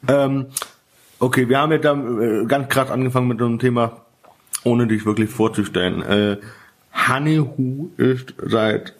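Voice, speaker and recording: A man hosting a casual interview, asking questions in halting phrases broken up by frequent hesitations. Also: mid-range voice, fairly even intonation. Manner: halting, frequent filler sounds.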